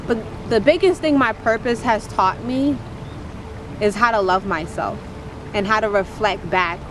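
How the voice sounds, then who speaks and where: A woman's voice: medium pitch, noisy background. Earnest woman with a strong voice outdoors. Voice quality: strong, powerful voice. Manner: earnest, preachy, repetitive uplifting cadence. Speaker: motivational speaker and poet. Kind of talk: inspirational testimony to camera.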